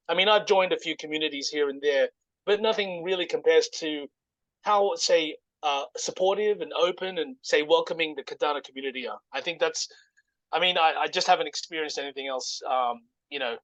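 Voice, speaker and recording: A man giving an informal, halting explanation as a guest presenter in casual conversation. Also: on the high side, a bit bright, no room sound, poor audio. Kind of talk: informal project pitch, casual chat.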